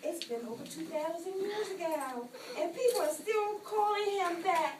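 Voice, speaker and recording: A woman's voice: very high-pitched, normal speed. A woman voicing a perky, earnest female puppet in a children's show, with exaggerated, preachy delivery. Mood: teasing, scolding. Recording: distant, echoey room with clear noise.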